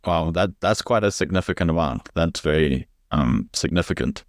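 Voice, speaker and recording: A man hosting a podcast interview, asking questions hesitantly with frequent pauses and ums. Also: sits low, animated, no echo, clean studio sound. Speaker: podcast host.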